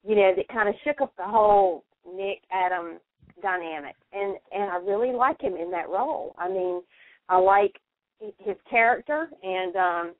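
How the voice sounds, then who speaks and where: A woman with a mid-pitched voice speaks over a dry, tinny telephone line. She co-hosts in a casual, chatty fan style, rambling from thought to thought. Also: moderate pace, no room sound. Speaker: radio co-host. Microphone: phone call.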